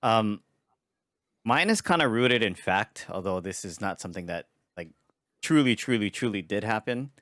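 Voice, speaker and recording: A man's voice: on the low side, animated, moderate pace, fairly bright tone, no room sound, clean studio sound. A man from Hawaii co-hosting a podcast, telling a story earnestly and swearing freely. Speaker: podcast co-host. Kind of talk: casual chat.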